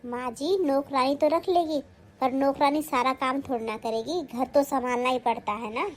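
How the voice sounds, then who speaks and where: A woman's voice: sits high, moderate pace, a little hiss or hum, lightly acted. A woman acting a sly, newly married young daughter-in-law who coaxes and pleads in a family skit. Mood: plotting. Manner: coaxing, plotting, pleading.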